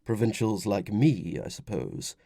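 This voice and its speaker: Man playing a gruff, affectionate Roman commander. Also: on the low side, big pitch swings, unhurried, mellow, dry recording, clean studio sound, full character voice. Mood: gentle reasoning. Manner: affectionate, grumbling, authoritative. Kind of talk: audiobook character dialogue.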